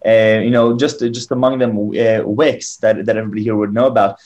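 A man's voice: on the low side, steady tone, brisk, darkish tone, dull audio. A man hosting a conversational interview, effusive and often doubling back on his phrases. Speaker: interview show host.

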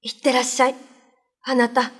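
A woman's voice: high voice, monotone, moderate pace, a bit bright, breathy edge. A woman playing the anxious, devoted wife of a prince in a radio drama, politely pleading. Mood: worried, pleading.

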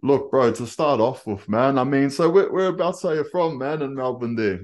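A man's voice: medium pitch, normal speed, a little mellow. A man hosting a casual interview show, talking in laid-back slang with lots of "bro" and "man". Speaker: podcast host.

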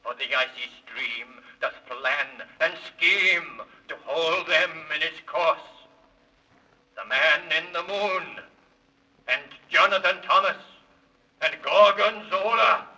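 A man's voice: a little high, slow, pushed and bright, far from the mic, full character voice, low-quality recording. A man voicing an enchanted magic mirror, declaiming solemn rhymes in 1930s children's radio style on an old recording. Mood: fearful, foreboding.

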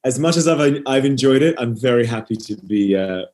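A man's voice: mid-range voice, lively intonation, fairly quick, darkish tone. A man in his thirties, raised in Australia, chatting modestly as a podcast guest, with many "you know" fillers. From Scotland, raised in Western Australia. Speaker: guest, opera singer and nutritionist. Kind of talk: relaxed conversational interview.

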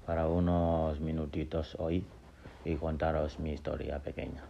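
Very deep, gravelly man from Gambia. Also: level tone. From Gambia and Senegal. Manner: halting, many fillers and restarts. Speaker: podcast host telling his life story. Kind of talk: casual personal monologue. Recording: dull lo-fi sound with faint noise.

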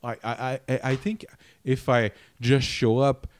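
Man, podcast co-host, casual chat, halting and thoughtful, mid-range voice. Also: mid-range voice, level tone, clean tone. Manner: halting, restarts often, thinking aloud. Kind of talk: casual chat reviewing a miniseries.

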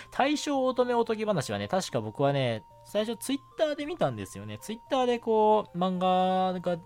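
A man's voice: high-pitched, wildly swooping pitch, unhurried, darkish tone, a little hiss or hum. A man hosting a casual solo radio show, chatting politely with many small filler particles. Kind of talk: casual solo radio talk about anime. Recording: music bed under the voice. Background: background music.

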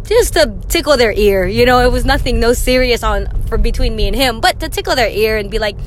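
Young female podcast host, rambling casual chat, high voice, soft car noise under her. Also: lively intonation, fairly quick. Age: young adult. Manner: chatty and rambling, laughing, many fillers. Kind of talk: casual solo podcast monologue.

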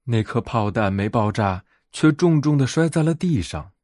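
Animated male bedtime storyteller, dry sound. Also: medium pitch, moderate pace, dry recording. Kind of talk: expressive bedtime fairy-tale narration.

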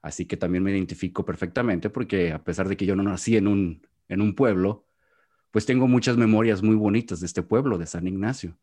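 A man's voice: sits low, fairly quick, darkish tone. A man with a Jalisco Mexican accent, a podcast guest in a casual interview who talks at length and often restarts his words, recorded over a video call. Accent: Mexican Spanish, Jalisco. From Guadalajara, Mexico.

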